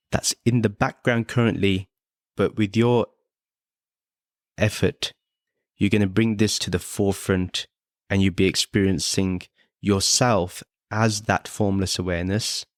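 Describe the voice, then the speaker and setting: A man teaching a guided meditation in a measured, instructive way, speaking in short, repeated phrases with pauses. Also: deep voice, no room sound, clean studio sound. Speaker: meditation teacher and podcast host.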